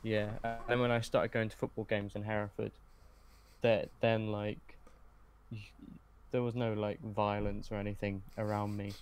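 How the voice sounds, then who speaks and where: A young man talking casually as a podcast guest, looking back in a hesitant, low-key way with pauses mid-sentence. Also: level tone. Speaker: podcast guest, script writer. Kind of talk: casual reminiscing in interview.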